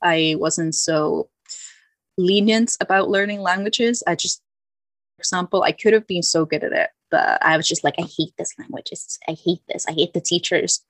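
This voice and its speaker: Low-voiced young woman, chatty guest. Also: moderate pace, dry recording. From Finland. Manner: chatty, self-deprecating, many restarts and fillers. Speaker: podcast guest. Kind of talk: casual chat.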